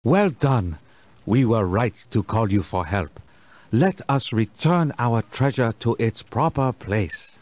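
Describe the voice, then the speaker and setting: An actor playing a kindly, dignified village elder in a children's game, speaking formally, with music behind him. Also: male voice, medium pitch, lively intonation, darkish tone, no room sound. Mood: grateful, reverent. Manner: formal, measured. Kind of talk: scripted children's game voice acting.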